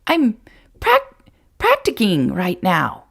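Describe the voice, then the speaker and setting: A bright little girl of almost four, chirping along and tripping over the long words, as a woman puts her on. She sounds very high and lively. A gravelly edge runs underneath. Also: takes it slowly, full character voice. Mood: cheerful. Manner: high and cheerful, stumbles on words.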